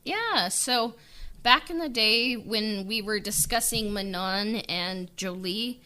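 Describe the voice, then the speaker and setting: A woman's voice: mid-range voice, slow pace, bright, forceful tone. A woman chatting casually on a podcast as a writer-director, informal and hesitant in the way she talks. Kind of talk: casual interview chat.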